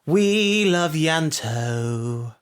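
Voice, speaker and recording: A man's voice: fairly high pitch, animated, drawn-out words, smooth. A man co-hosting a casual podcast chat in a playful, teasing way. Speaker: podcast co-host.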